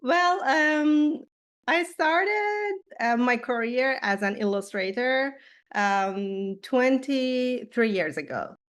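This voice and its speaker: A woman from Iran, a children's book illustrator, answering interview questions with many pauses and fillers. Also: high voice, a bit bright. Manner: hesitant, many ums and uhs. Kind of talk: casual interview.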